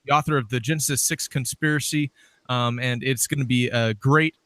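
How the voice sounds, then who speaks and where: A man's voice: mid-range voice, fairly even intonation, moderate pace, bright, forceful tone, no room sound. A man casually hosting an interview show, speaking informally through greetings and announcements. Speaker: show host.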